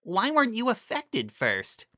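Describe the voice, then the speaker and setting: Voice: medium pitch, huge pitch swings, measured pace, sharp tone, dry recording, clean studio sound, pitched above the performer's own voice, heavily acted. A performer voicing a wry, exasperated young female unicorn magician in a storybook fantasy reading. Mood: musing.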